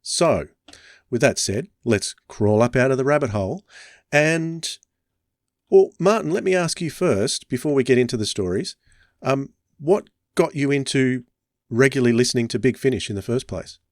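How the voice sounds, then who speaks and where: A male podcast host chatting casually at a middling pitch. The sound is close and very dry, with no room echo. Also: lively intonation, moderate pace.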